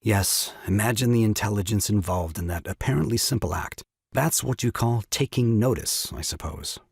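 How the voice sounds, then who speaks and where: A man's voice: on the low side, normal speed, vocal fry, husky, dry recording, clean studio sound. An actor playing an indignant young father and lawyer in a stage farce, with pompous, emphatic, rhetorical speech. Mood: dry sarcasm. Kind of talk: stage farce audio drama acting.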